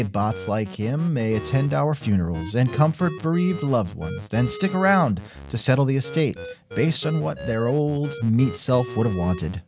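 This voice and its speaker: A man hosting a scripted comedic interview, with a wry, joking manner. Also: medium pitch. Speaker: podcast host and interviewer.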